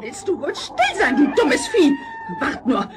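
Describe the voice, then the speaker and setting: A very high, shrill spoiled girl in an old children's radio play, scolding with wild swoops over clearly noisy sound. She is lazy and foul-tempered. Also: huge pitch swings, measured pace, full character voice. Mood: disgusted fury. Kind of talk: vintage German children's radio play acting.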